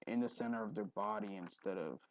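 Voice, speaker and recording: A man hosting a casual, unscripted screen tutorial, haltingly repeating words and muttering to himself, recorded on a headphone mic at his neck. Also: lowish voice. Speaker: tutorial host. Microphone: headphone mic held at the neck.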